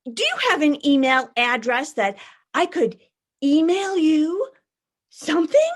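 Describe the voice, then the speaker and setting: A woman's voice: sits high, very animated. A woman performing a monologue as a manic, anxious young woman who rambles nervously and apologises on a blind date. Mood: nervous, self-conscious. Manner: halting, nervous, apologetic, over-eager to impress. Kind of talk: theatrical monologue, comedy reading.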